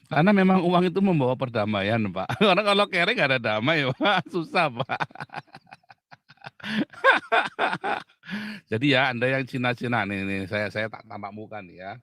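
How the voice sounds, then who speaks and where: A man's voice: mid-range voice, sing-song, normal speed, sharp tone, no room sound, clean studio sound. A middle-aged man from Indonesia, a pastor hosting an outspoken talk, speaking bluntly and emphatically and laughing often. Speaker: pastor and host.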